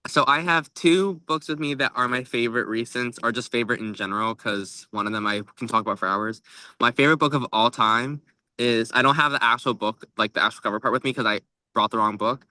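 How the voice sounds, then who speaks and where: A man's voice: medium pitch, brisk, pushed and bright, no echo. A young man co-hosting a book podcast, explaining things in an informal, casual chat style.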